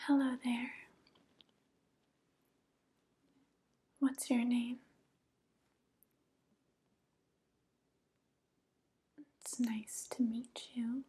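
A young woman playing a softly coaxing evil witch in an ASMR roleplay, whispering slowly and gently, close to the microphone. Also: fairly high pitch. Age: young adult. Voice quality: breathy whisper. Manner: slow, gentle, flattering, falsely kind. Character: soft, coaxing evil witch luring a guest.

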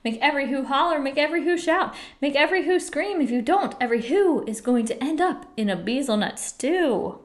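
A woman's voice: high voice, sing-song, measured pace, voice pushed up. A woman voicing a big, kind, loyal male elephant in a children's storybook, speaking earnestly and emphatically in rhyme. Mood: alarmed, urgent.

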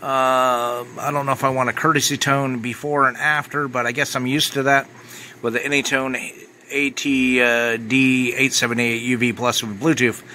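A man's voice: medium pitch, little pitch movement, moderate pace. An American man giving a casual, matter-of-fact review as an amateur radio hobbyist. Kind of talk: casual product review narration. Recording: bright recording with clear background noise.

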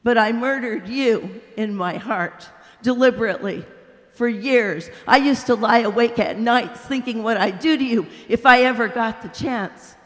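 A woman voicing a humble male spirit, speaking calmly and earnestly. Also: fairly high pitch. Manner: calm, earnest, slow. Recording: echoey hall.

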